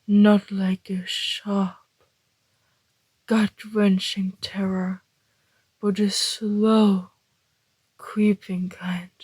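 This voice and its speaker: A woman playing a suave, teasing male vampire in an ASMR roleplay, speaking in a breathy, deliberate, flirtatious half-whisper. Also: medium pitch, level tone, darkish tone, dry recording. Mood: predatory, fascinated.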